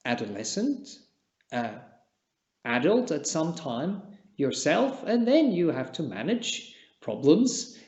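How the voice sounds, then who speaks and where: A man from Switzerland, a native Czech speaker, giving a gentle, halting dharma talk as a Buddhist meditation teacher, with long pauses. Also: on the high side. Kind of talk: unscripted dharma talk at a retreat. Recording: echoey room, dull lo-fi sound.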